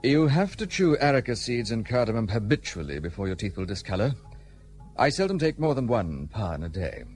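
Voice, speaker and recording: A proud, guarded gentleman of British India in a radio drama. His slightly low voice moves expressively, with formal, brusque phrasing and a bitter edge. Music sits faintly behind him. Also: very animated, fairly quick. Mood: dry, opinionated. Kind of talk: period radio play acting.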